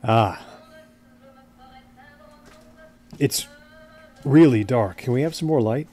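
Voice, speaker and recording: An actor playing an obsessed, brooding man in a naturalistic audio drama, with a hesitant, halting delivery. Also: medium pitch, lively intonation, warm tone, soft background music, natural voice. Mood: uneasy. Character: obsessed, brooding man seeking supernatural truth.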